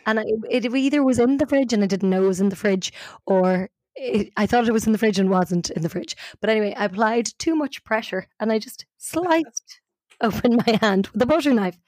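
A woman's voice: on the high side, brisk, darkish tone, no echo. A woman with an Irish accent, talking casually as co-host of a storytelling podcast. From Ireland.

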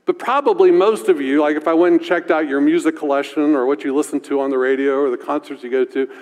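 A male pastor with a deep voice preaching a sermon in a conversational way, often asking "right?". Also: steady tone, quick pace, a little mellow, long reverb tail.

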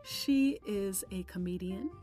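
A woman's voice: mid-range voice, takes it slowly, dark tone. A woman presenting a radio show outro in an announcer style, as the host. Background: guitar music.

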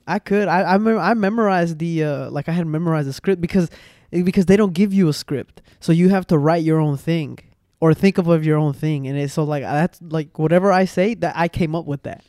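A young man hosting a home podcast on a boom arm mic, chatting casually and rambling with restarts and slang. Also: a little fast, few pauses, darkish tone, no room sound, studio quality. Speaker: podcast host. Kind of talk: casual chat.

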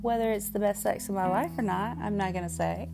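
A young woman with a Southern US accent, chatting candidly and rambling on her solo podcast, full of fillers and pauses. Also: mid-range voice, gravelly, over music. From Southern United States. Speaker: podcast host.

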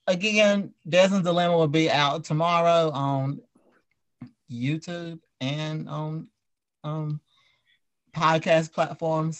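A man hosting a casual talk show, chatting in a playful, teasing, slangy way. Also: a little high. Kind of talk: casual chat with guests.

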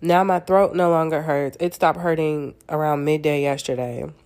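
A woman's voice: low-pitched, fairly even intonation, moderate pace, a little mellow. A young woman hosting a casual solo podcast, sounding congested and nasal from a cold, chatting candidly and swearing freely. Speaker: podcast host.

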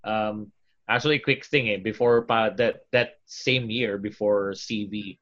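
A man's voice: fairly low pitch, steady tone, measured pace, fairly bright tone. Filipino man in muffled casual chat. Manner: talkative, with many fillers and restarts. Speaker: voice-over artist. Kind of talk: casual interview chat mixing Tagalog and English.

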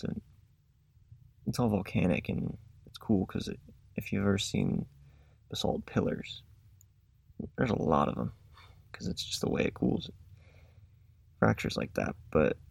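A young man, a student making a class podcast, telling a travel story in a casual, self-described monotone voice. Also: low-pitched, vocal fry, slightly rough, no echo. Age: young adult.

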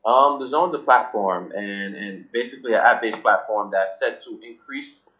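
A man's voice: on the low side, moderate pace, fairly bright tone, muffled recording. A young man from Cameroon, a guest in a casual interview, talking loosely with many fillers and restarts. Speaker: guest, app founder.

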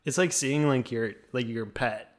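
A young man from the American Midwest with a slight Minnesota accent, a guest talking casually and softly with many fillers. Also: mid-range voice, unhurried. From Midwest USA. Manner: soft-spoken, trailing off, many fillers. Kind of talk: casual interview.

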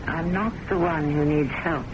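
A woman's voice: deep voice, a little slow. An actress playing a resolute, accusing woman, dramatic and sarcastic, in vintage radio drama style on a noisy old recording. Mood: indignant, sarcastic. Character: accusing, resolute woman who worships and defies her boss.

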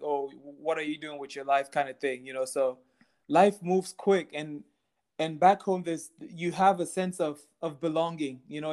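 A young man from Cameroon, a podcast guest, talking in an easygoing, halting way with repeated words. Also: fairly high pitch. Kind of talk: casual interview chat.

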